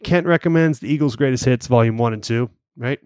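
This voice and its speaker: A man's voice: medium pitch, brisk, darkish tone, clean tone, no room sound, studio quality. A man co-hosting a podcast, chatting casually with plenty of fillers and restarts. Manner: rambling, lots of fillers and restarts. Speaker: podcast co-host. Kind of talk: casual chat.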